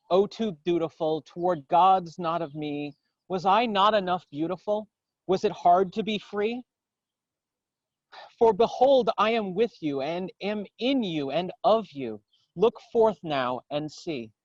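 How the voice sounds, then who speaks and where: A man gives a dramatic poetry recitation outdoors, in solemn, declamatory, measured phrases. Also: high-pitched, dry recording, muffled recording. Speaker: poetry reader. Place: outdoors in a garden.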